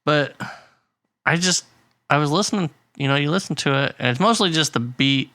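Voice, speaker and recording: A man with a bright, forward voice co-hosts a casual music podcast. He talks bluntly and laughs often. The sound is dry and close to the mic. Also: on the high side, normal speed, no echo. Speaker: podcast co-host. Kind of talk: casual chat.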